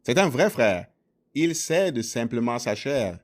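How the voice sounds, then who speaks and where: A man interpreting a sermon as a voice-over. He speaks in a measured, plain way. His pitch sits in a middle range. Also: big pitch swings.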